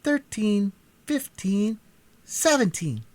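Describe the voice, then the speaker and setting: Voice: mid-range voice, very animated, darkish tone, dry recording, pitched above the performer's own voice. A reader voicing a sulky, impatient young boy in a storybook. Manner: impatient, quick to complain.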